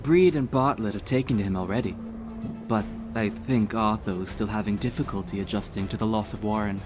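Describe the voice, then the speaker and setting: A man doing a warrior friend, loyal, easygoing, lowish voice, soft music behind. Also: fairly quick, lightly acted. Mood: earnest. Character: loyal, good-humored male warrior friend.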